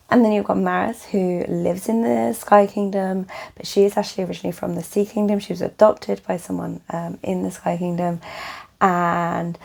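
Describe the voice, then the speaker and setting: Young woman, croaky and tired, mellow low-lit tone, rambling casual book chat to camera. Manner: chatty, rambling, lots of fillers. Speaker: book vlogger.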